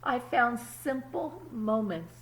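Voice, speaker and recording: A woman, apparently a teacher, reads a picture book aloud to children expressively, pausing to explain words simply and check in with her young listeners. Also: fairly high pitch, animated, rough recording. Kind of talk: children's storybook read-aloud with asides.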